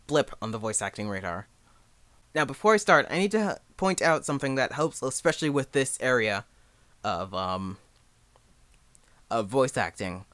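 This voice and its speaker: A young man giving a casual, unscripted tutorial in a rambling, halting, self-deprecating way. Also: mid-range voice, a bit bright, clean tone, no echo. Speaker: amateur voice actor giving advice.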